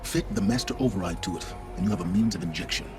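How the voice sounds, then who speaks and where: An actor playing an aloof, calculating mentor in a video game, speaking in a deliberate, theatrical way. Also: male voice, deep voice, over music, natural voice. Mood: intense, instructive. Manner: deliberate, eloquent, theatrical phrasing. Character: aloof, calculating male mentor confessing his part in a cult. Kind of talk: dramatic video game dialogue.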